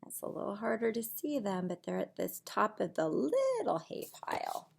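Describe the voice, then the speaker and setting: A middle-aged woman reading a picture book to young children in a deliberate, sing-song way, with simple asides, recorded in a room at home. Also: medium pitch, wildly swooping pitch, vocal fry. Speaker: preschool teacher reading aloud. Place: small indoor room at home.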